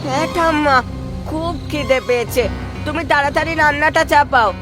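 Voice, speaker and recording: A woman voicing a timid, excitable little brother in a children's cartoon, childlike and whiny. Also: high-pitched, moderate pace, loud music behind the voice, close to an everyday voice. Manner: childlike, whiny, repeating phrases. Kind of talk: expressive children's cartoon acting.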